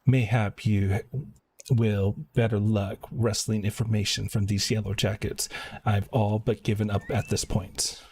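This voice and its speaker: A fussy, long-winded fantasy game scholar read aloud by a man, in a fairly low voice with pompous, slightly halting phrasing. Faint noise sits behind the voice. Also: darkish tone, natural voice. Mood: puzzled, weary. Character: fussy, wordy, self-important fantasy scholar.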